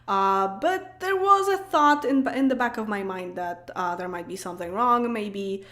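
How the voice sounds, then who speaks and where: A woman's voice: medium pitch, lively intonation, normal speed, pushed and bright. A woman in her late twenties with a Polish accent, chatting casually on a livestream and answering comments, with lots of fillers, restarts and laughs. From Poland. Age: late 20s. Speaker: streamer.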